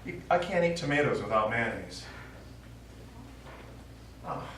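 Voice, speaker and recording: A man's voice: medium pitch, sing-song, unhurried, fairly bright tone. An older man playing a stubborn, forgetful elderly widowed father in a live stage play, wistful and contrary as he rambles. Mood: nostalgic, contrary. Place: small indoor theatre stage, recorded from afar. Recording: very echoey theatre, distant mic, faint noise.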